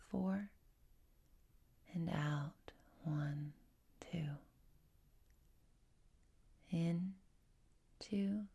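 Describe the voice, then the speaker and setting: A woman guides a meditation in a soft, mellow voice that sits on the low side. Her delivery is very slow and gentle, with a husky, whispery breathiness and a creaky edge. She gives each instruction in a soothing, unhurried way.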